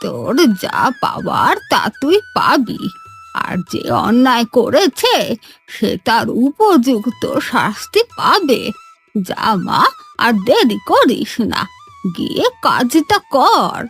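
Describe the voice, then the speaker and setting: Kindly old magical granny, wildly swooping pitch, husky, consoling, fairy-tale cartoon. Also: female voice, huge pitch swings, takes it slowly, a bit bright, soft background music, heavily acted. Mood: solemn, knowing. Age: older.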